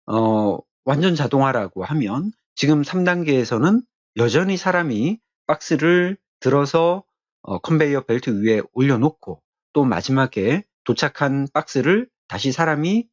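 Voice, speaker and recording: A man giving an explanatory technical lecture as an instructor, speaking haltingly and repeating his key phrases. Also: medium pitch, animated, no echo, dull audio.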